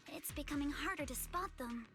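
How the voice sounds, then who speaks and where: A woman's voice: high voice, fairly quick, lightly acted. An actress playing an observant young woman scout in a video game. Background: game music.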